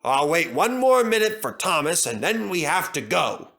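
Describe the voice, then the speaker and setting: A man's voice: a little high, very animated, moderate pace, vocal fry, a bit bright, pitched above the performer's own voice, exaggerated voice. A man voicing a stern railway director in a storybook, speaking formally and with authority. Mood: authoritative. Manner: authoritative, formal, bellowing when crossed.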